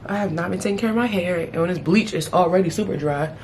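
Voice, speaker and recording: A woman in her thirties chatting casually as a beauty vlogger while doing her makeup, playful, with sudden high exclamations. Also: quick pace, a little mellow, a little hiss or hum. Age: 30s. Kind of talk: casual get-ready-with-me chat.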